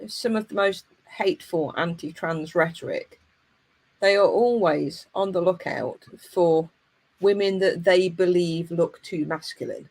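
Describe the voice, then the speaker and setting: A woman's voice: on the low side, measured pace, gravelly, darkish tone. Passionate woman host asking rhetorical questions. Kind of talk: live video interview, casual conversation.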